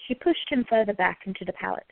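Creaky-voiced woman narrator. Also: mid-range voice, fast talker, no echo, narrow, tinny audio. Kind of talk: scripted story narration of a radio play.

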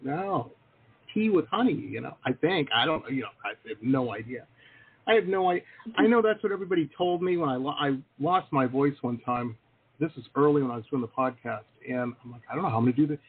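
A man's voice: mid-range voice, lively intonation, quick pace, slightly rough. Playful man hosting over a tinny line. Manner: teasing, jokey, chatty. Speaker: podcast host. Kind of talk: casual interview chat. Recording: tinny phone-like call audio.

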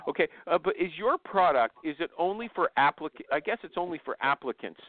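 A man hosting an informal live interview, blunt and quick to interrupt and steer his guest, heard over a narrow phone connection. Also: fairly high pitch, lively intonation, no echo. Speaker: host interviewing a guest on a live online show. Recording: narrow, thin phone-line sound.

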